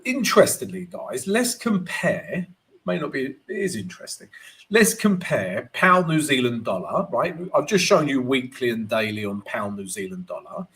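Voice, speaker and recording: A man with a gravelly voice today, hosting a live trading stream and walking viewers through charts. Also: medium pitch. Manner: animated, keeps asking "right?", greets chat viewers. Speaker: forex trading livestream host.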